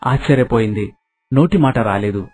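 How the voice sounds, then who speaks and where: Male storyteller, husky mid-pitched voice, scripted narration with background music. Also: moderate pace, a little mellow.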